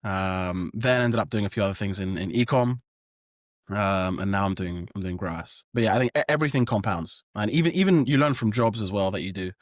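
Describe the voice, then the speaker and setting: Young Londoner with a lowish voice. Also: male voice, a little fast, no echo, studio quality. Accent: British, London. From born in France, grew up in London. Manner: relaxed, hesitant, many ums and restarts. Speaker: startup founder guest. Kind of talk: casual interview.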